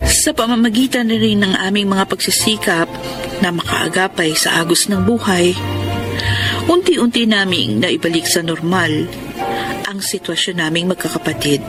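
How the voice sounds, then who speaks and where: A woman's voice: fairly low pitch, moderate pace, over music, close to an everyday voice. A woman playing an earnest, respectful, emotional grown daughter in a Filipino radio drama. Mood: earnest, hopeful. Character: grieving, dutiful, hopeful grown daughter and eldest sibling. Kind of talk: Filipino radio drama acting and first-person narration.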